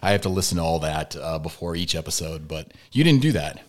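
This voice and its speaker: A man's voice: extremely low pitch, lively intonation, brisk. A man hosting a podcast, chatting casually in a jokey, teasing way with his guest in a studio. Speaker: podcast host. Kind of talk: casual banter and interview.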